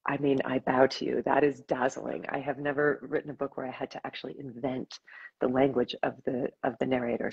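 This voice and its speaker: A woman's voice: extremely low pitch, creaky, darkish tone, husky, dull audio. An older woman hosting an interview over a video call, speaking with effusive enthusiasm about a novel she loved. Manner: effusive, enthusiastic, laughs often. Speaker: host. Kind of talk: casual interview. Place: home room with plants.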